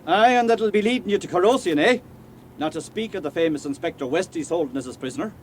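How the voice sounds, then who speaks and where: An actor with an Irish accent playing a genial, talkative, canny police superintendent in a radio drama. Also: male voice, high-pitched, animated, fast talker, a little hiss or hum. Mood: genial, confident. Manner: folksy, cajoling, garrulous.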